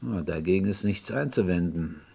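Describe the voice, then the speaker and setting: A male police inspector in a radio crime drama. His voice sits fairly low. He questions courteously and a little hesitantly, but keeps gently insisting. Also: expressive, normal speed, gravelly, husky, exaggerated voice. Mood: probing, courteous.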